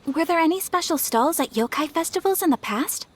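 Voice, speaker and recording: A woman voicing a polite, refined young noblewoman who speaks gently in game dialogue. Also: very high voice, expressive, a little fast, slight background noise.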